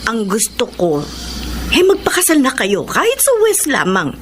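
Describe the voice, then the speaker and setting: A woman's voice: a little high, wildly swooping pitch, moderate pace, slight background noise. An actress playing a firm but generous middle-aged mother in radio drama dialogue.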